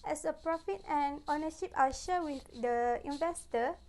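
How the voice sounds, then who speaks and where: Young woman, Malaysian accent, high voice, student presenter, scripted explanatory delivery. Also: normal speed, darkish tone. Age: 20s. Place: plain indoor room.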